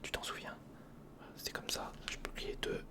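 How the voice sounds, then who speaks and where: A man whispering a casual, improvised ASMR build-along, muttering hesitantly to himself and chatting to the viewer in a breathy, mostly voiceless voice. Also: normal speed. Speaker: ASMR video host.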